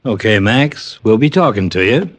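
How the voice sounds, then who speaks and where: An actor playing a dry, deadpan police lieutenant in an old radio drama. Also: male voice, fairly low pitch, normal speed. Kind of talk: 1950s radio drama acting.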